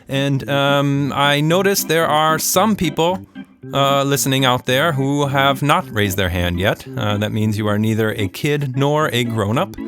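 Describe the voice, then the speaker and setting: A man hosting a children's podcast and telling stories in a playful, mock-serious, unhurried way. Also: medium pitch, music in the background. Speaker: podcast host and storyteller. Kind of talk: children's storytelling, casual and scripted.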